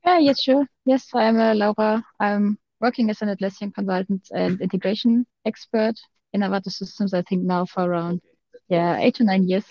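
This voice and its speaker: A woman's voice: medium pitch, moderate pace, dry recording. A woman in her thirties working as a consultant, introducing herself politely and a little hesitantly on a webinar. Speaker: consultant and integration expert. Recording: dull, lo-fi call audio.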